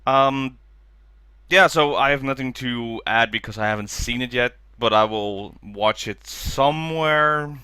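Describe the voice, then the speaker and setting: A man co-hosting a casual podcast over a video call, speaking in a halting way with many fillers. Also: medium pitch, pushed and bright, clean tone, no echo. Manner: halting, full of fillers and restarts. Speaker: podcast co-host. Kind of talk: casual chat.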